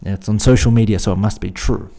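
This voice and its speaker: An Australian man talks through business advice in a casual solo podcast. His voice sits low and gravelly, with a mellow, warm tone. He restarts phrases and repeats words as he pushes his point. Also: fast talker. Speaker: podcast host and fitness business coach.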